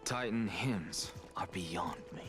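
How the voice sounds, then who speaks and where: An actor playing a guarded, stubborn loner in a fantasy game, speaking tersely in a hushed, breathy voice. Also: male voice, sits low, slow, music in the background. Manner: terse, reserved. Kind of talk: scripted game voice acting.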